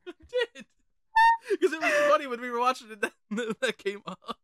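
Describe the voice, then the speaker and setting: An excitable, sweary podcast host chatting casually about films. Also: mid-range voice, normal speed, no echo, studio quality. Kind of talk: casual film chat with quoted lines.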